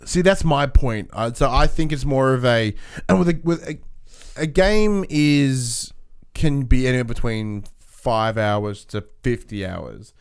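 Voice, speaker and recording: A man's voice: mid-range voice, unhurried, smooth. A man co-hosting a podcast, steering the discussion in a casual, conversational style. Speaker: podcast co-host.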